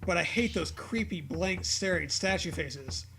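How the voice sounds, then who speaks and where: A man's voice: high-pitched, animated, measured pace, gravelly, pushed and bright, slight background noise. A man reading a story aloud for comedy, breaking off to swear and riff casually.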